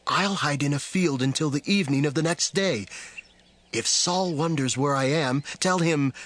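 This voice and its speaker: A man's voice: fairly high pitch, a bit bright, no echo. A man playing an anxious, earnest young fugitive pleading in a dramatized audiobook.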